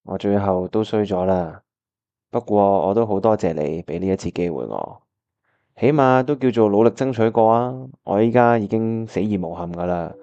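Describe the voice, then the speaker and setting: A man's voice: fairly low pitch, normal speed, dark tone, highs cut off, lightly acted. A man with a Hong Kong Cantonese accent acting a disheartened, wistful time traveller who narrates his own radio drama. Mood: dejected. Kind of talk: first-person radio drama narration and dialogue. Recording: music playing behind the voice.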